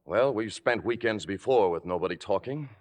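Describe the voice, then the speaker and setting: An actor playing an indignant, blustering husband, emphatic and grumbling, in classic film drama style. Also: male voice, fairly low pitch, expressive, normal speed, close to an everyday voice. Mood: angry. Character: indignant, blustering husband and playwright fed up with a star.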